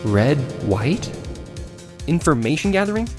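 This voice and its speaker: A man's voice: fairly high pitch, sing-song, a little slow, music in the background. A man voicing an eager young defense lawyer in a theatrical video game fan dub, dramatic, with wry, exasperated asides. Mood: inquiring.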